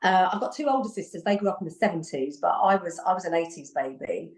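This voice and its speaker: A woman in her forties with an English accent, chatting as a podcast guest, lively, laughing often and repeating herself. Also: lowish voice, quick pace. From England. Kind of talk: casual conversation. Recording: echoey room.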